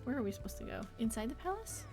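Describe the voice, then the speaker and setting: A woman with a high voice chats informally on a game livestream. She rambles along expressively, speaking straight to her viewers. Faint music plays behind her. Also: sing-song, rapid-fire. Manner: chatty, rambling, talking to viewers. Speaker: live game streamer. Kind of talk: casual livestream chat. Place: indoor home office.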